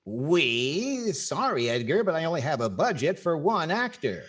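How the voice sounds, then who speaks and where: A man's voice: a little high, very animated, unhurried, a bit bright, husky, exaggerated voice. A man playing a wry, skeptical radio comedy star in 1940s style, with theatrical timing.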